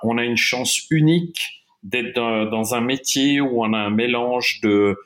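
A man's voice: medium pitch, unhurried, a bit bright. A middle-aged man from Switzerland whose first language is German, a watch-industry guest talking in an interview with many fillers and restarts. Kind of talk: interview conversation.

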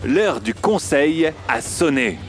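A grandiose reality TV host, acted out by a man with a fairly high voice, milking the suspense over a music bed. He announces everything solemnly and dramatically, as in a parody elimination show. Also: very animated, slow pace. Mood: solemn, suspenseful. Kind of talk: parody reality show narration in an audio fiction.

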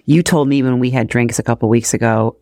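A man's voice: mid-range voice, steady tone, fairly quick, darkish tone, dry recording, clean studio sound. Curious male podcast host asking follow-ups. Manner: curious, asks short follow-up questions. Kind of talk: interviewing, brief reactions.

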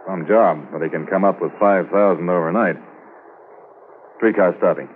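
An actor playing a sharp, businesslike police sergeant in a clipped 1950s radio drama style. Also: male voice, sits low, normal speed, close to an everyday voice. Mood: watchful. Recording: tinny, noisy 1950s radio recording.

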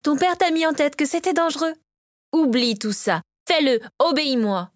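Storybook mother, played by a female narrator: furious, commanding, scornful, lifted in pitch. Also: animated, moderate pace, no echo, studio quality. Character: Victoria, furious domineering mother in a fantasy tale.

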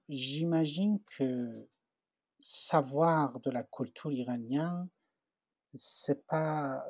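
A man with a Persian accent speaks slowly and haltingly, stopping to hunt for the right French words. His voice is husky and mellow. The recording sounds slightly dull and dry. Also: medium pitch, dry recording. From Iran. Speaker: interviewee. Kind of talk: personal testimony.

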